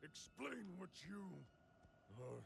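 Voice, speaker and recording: An actor playing a stern, hostile guard in a fantasy video game, barking demands. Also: male voice, sits high, big pitch swings, slow, vocal fry, noisy background, exaggerated voice. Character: stern, hostile male guard challenging trespassers. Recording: music under the voice. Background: loud game music.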